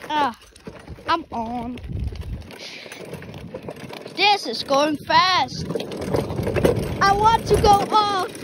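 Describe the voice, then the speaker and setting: A woman's voice: very high voice, expressive, drawn-out words, pauses often, noisy background. A woman chatting playfully in a casual vlog, rambling and stumbling over her words. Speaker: vlogger.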